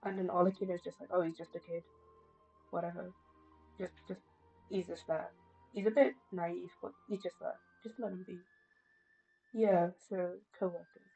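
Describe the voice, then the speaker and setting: A woman's voice: fairly low pitch, flat delivery, moderate pace, gravelly, pauses often, mellow, slightly rough. A woman live streamer chatting casually over a game in a musing, wry, rambling way. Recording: slightly muffled stream, music behind.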